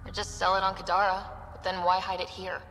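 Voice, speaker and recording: A woman's voice: medium pitch, monotone, fairly quick, fairly bright tone, slight background noise, close to an everyday voice, low-quality recording. A woman playing a determined, wry space explorer leader in a video game, assertive and sardonic. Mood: irritated, wry.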